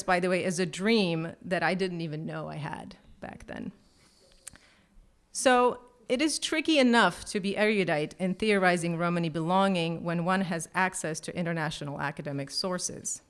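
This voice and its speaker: A woman reading out a measured, prepared talk as a conference panelist. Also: medium pitch, fairly bright tone.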